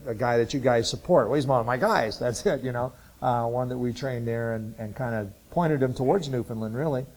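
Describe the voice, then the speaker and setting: Older guy in his 60s from ohio, rambling church talk, lots of uhs and restarts, middle-pitched voice. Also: a little mellow, low-quality recording. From Ohio, USA. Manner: halting and rambling, many filler sounds and restarts. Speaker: Bible college missions teacher. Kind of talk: informal testimony talk to a church group.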